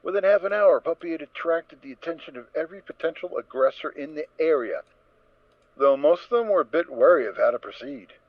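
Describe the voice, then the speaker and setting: Animated male dramatic story narrator. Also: fairly high pitch, brisk, no room sound, lo-fi sound. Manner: theatrical, expressive storytelling.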